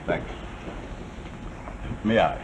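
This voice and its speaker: An actor in a 1940s television drama playing an arrogant, domineering general who speaks in a blunt, pressing, commanding way. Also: male voice, drawn-out words. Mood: curt. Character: arrogant, domineering wartime general, an insistent seducer. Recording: muffled, noisy 1949 television soundtrack.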